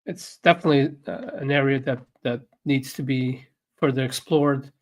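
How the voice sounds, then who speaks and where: Portuguese native guy cohosting a stream, mid pitch, lots of uhs and ums. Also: steady tone, normal speed. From Portuguese-speaking country. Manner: hesitant, many fillers, laughs softly. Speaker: co-host, runs online academy. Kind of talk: casual livestream chat.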